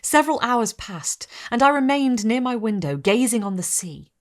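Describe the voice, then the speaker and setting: A woman narrating a Gothic story in a hushed, measured reading. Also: fairly high pitch, animated. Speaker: story reader. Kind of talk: dramatic reading of a Gothic novel.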